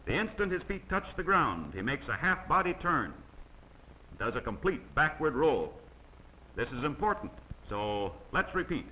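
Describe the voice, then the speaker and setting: A man reading scripted instructional narration in a measured way, as the narrator of a training film. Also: on the high side, sing-song. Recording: dull, noisy old 16mm film soundtrack.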